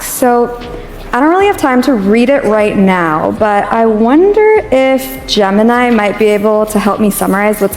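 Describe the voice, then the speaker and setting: A woman's voice: medium pitch, darkish tone. A woman presenting a live stage demo in a casual, light manner, laughing easily. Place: stage in a large hall. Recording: echoey hall, faint music.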